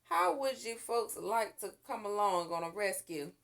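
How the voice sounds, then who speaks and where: Woman playing a hearty fire chief, lively delivery. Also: mid-range voice, a little slow, creaky. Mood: hearty, friendly. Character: hearty, friendly male fire chief in a storybook.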